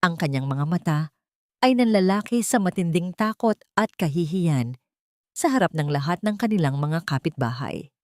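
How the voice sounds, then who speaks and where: Woman, low pitch, dramatic story narration, solemn and emotive, dry recording. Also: lively intonation, normal speed, no room sound.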